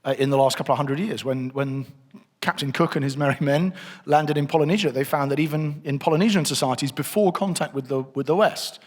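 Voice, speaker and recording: A man's voice: on the high side, fairly quick. A middle-aged man giving a conversational church teaching session, thinking aloud as he works through his slides. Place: church hall. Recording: long reverb of a hall.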